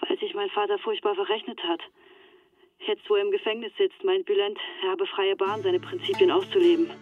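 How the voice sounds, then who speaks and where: A woman's voice: mid-range voice, fairly even intonation, brisk. An actress in a radio play playing a shaken young woman in hiding, confiding over a telephone. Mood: anguished. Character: shaken Turkish-Swiss woman in hiding, recounting betrayal. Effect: telephone filter. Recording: thin, dry phone-line sound.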